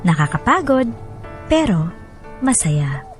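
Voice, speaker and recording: A woman's voice: fairly low pitch, sing-song, takes it slowly. A woman playing a happily married young wife, narrating her sentimental letter in a confiding way for a radio drama. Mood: wistful, tender. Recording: voice over background music. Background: music.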